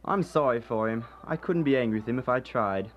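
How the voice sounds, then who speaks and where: An actor playing a cheerful, forgiving young nephew in a radio drama, good-humoured and animated. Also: male voice, medium pitch, brisk, lo-fi sound, close to an everyday voice. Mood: amiable, pitying.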